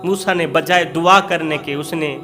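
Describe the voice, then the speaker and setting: A man's voice: a little high, fairly even intonation, unhurried, bright, forceful tone, faint music behind. A man preaching an emotional Islamic moral story, dramatically, with emphatic repetition.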